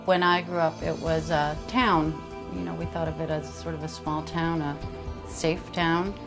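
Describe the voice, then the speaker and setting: A woman's voice: low-pitched, little pitch movement, unhurried. A woman from Vancouver, an art student talking thoughtfully and with measure in an interview testimonial. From Vancouver, Canada. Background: music.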